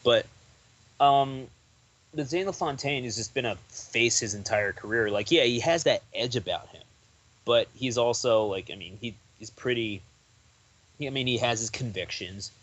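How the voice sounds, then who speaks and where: A man's voice: medium pitch, normal speed, a bit bright, rough recording. A young man talking as a guest on a podcast, rambling loosely with lots of "like" and restarts. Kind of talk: casual interview chat.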